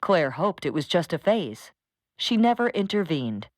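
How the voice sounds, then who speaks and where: A woman's voice: fairly low pitch, sing-song, a little slow, no room sound, clean studio sound. An actress playing a female news journalist, delivering a formal scripted crime report for an audio drama. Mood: earnest, formal.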